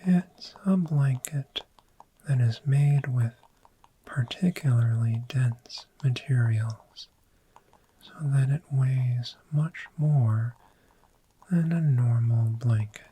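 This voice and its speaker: A performer voicing a friendly, soothing dragon in an ASMR roleplay, speaking in a gentle, breathy whisper and lingering on repeated words. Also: medium pitch, takes it slowly, slight background noise, lightly acted, rough recording. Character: friendly soothing dragon therapist showing a cozy hoard.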